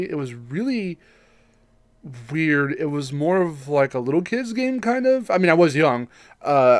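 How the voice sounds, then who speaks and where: Rambling podcast co-host, medium pitch, dry sound. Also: darkish tone, no echo. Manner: rambling, joking, profane. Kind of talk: casual gaming podcast chat.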